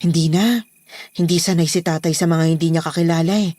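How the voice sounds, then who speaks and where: A woman's voice: deep voice, fairly even intonation, fairly quick, dark tone, dry recording. A woman with a Filipino accent playing a protective, bossy daughter running a household in a horror audio drama. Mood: matter-of-fact.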